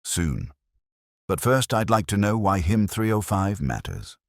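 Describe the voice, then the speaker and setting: A man voicing a courteous, quietly incisive police inspector who questions gently in a mystery story. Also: fairly low pitch, sing-song, measured pace, no echo, studio quality, voice pushed up. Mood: calm probing.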